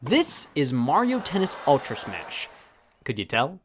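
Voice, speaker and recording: Emphatic man with animated delivery. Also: medium pitch, unhurried. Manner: emphatic, rhetorical questioning. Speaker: comedy reviewer. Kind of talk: scripted comedic review narration.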